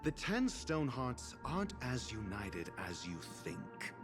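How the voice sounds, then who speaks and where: A haughty male scholar in a video game, speaking slowly with lively, swinging intonation. He is dry, articulate and condescending, with music behind him. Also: mid-range voice. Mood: curt, disdainful.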